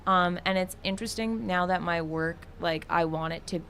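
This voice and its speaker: A woman's voice: lowish voice, moderate pace, a bit bright, a little hiss or hum. A young woman from New York City, chatting casually as an interview guest, filling her talk with "like" and laughing easily. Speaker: guest artist.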